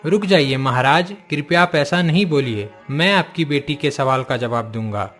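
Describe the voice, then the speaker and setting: A man's voice: fairly high pitch, moderate pace, a bit bright, soft background music, close to an everyday voice. A man voicing a polite, confident unmarried king courting a princess in a cartoon story, with a courteous manner.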